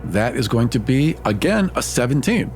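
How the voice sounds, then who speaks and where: A man's voice: mid-range voice, very animated, normal speed, darkish tone, faint music behind. A man who is a tabletop game player, improvising narration of his character's actions.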